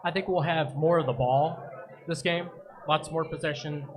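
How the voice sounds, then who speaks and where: Easygoing man with a higher voice. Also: fairly even intonation, normal speed. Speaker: podcast co-host. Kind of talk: live casual soccer chat. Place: busy brewery. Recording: noisy live bar recording. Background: crowd chatter.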